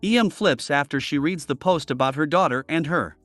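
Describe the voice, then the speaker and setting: Man reading reddit stories, higher voice. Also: fairly quick, a bit bright, no room sound, faint music behind. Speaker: reddit story narrator. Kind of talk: scripted narration reading posts.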